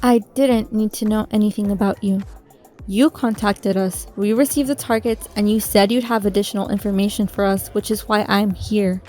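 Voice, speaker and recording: An actress playing a guarded, businesslike undercover agent in a sci-fi audio drama, curt and unimpressed. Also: female voice, medium pitch, steady tone, moderate pace, dark tone, soft background music, close to an everyday voice. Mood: impatient, curt.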